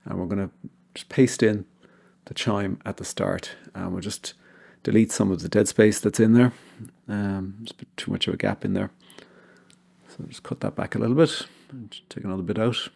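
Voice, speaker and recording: A man with a low, gravelly voice talks through an informal software tutorial. He hosts it himself, explaining each step in a conversational way, with noticeable fry in his delivery. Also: fairly even intonation, moderate pace. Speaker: tutorial host.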